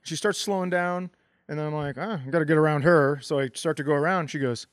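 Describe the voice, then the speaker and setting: A man co-hosting a comedy podcast, telling casual stories and acting out the dialogue in an animated way. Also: on the high side, fast talker, no room sound, studio quality. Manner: animated, acts out quoted dialogue.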